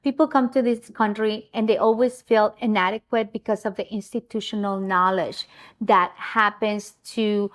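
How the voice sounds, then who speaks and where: A woman's voice: mid-range voice, fairly even intonation, normal speed. A woman from Ecuador hosting a casual interview show, effusive and chatty, sometimes tripping over her words. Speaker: talk show host.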